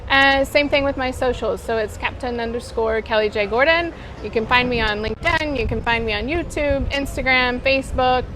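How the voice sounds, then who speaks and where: A woman's voice: a little high, normal speed, hardly any pauses, a bit bright. Enthusiastic woman captain, noisy outdoors. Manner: enthusiastic, earnest, repeats words for emphasis. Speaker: superyacht captain, interview guest. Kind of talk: casual interview chat. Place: outdoors at a boat show.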